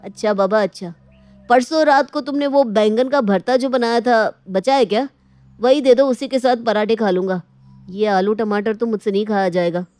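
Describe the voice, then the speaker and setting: A woman's voice: fairly high pitch, normal speed, a little mellow, no echo, lightly acted. A woman voicing a picky, pampered husband in a domestic story, with a demanding manner. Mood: reluctant, demanding. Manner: grumbling, demanding. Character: fussy, picky adult husband, pampered son.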